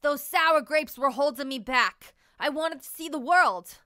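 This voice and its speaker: Woman doing a raspy, gruff shriveled raisin guy. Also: sits high, a little slow, bright, forceful tone, no echo, clean studio sound, pitched above the performer's own voice. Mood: boastful. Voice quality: raspy, coughing. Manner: gruff, slangy, taunting. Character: shriveled old rebel raisin guy, boastful drifter.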